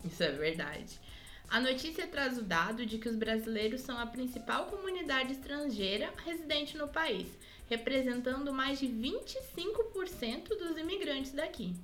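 A young woman, co-hosting a podcast and telling stories in an animated way. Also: sits high, moderate pace, soft background music. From Brazil. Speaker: podcast co-host. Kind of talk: casual chat.

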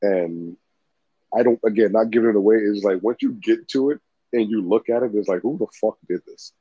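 A man's voice: fairly low pitch, brisk, husky, no room sound. A man co-hosting a podcast in casual chat, rambling and swearing freely as he talks. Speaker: podcast co-host. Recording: dull lo-fi dry recording.

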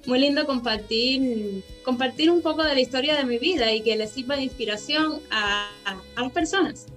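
A woman in her twenties from Cuba, a guest telling her life story in a radio interview, earnest and unhurried with many fillers. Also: sits high, fairly bright tone, faint music behind. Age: 20s. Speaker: interview guest, pageant winner and ballet dancer.

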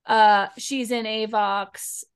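Brief: female podcast co-host, higher-pitched voice, informal and conversational, retelling a story with plenty of likes. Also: steady tone, takes it slowly, smooth. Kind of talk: casual book recap chat.

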